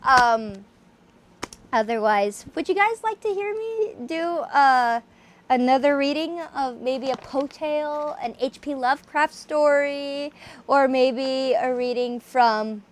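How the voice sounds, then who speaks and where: A woman with a theatrical, expressive way of speaking, presenting horror stories as an amateur reader and host. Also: high-pitched, slow. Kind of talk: dramatic reading, then enthusiastic casual chat.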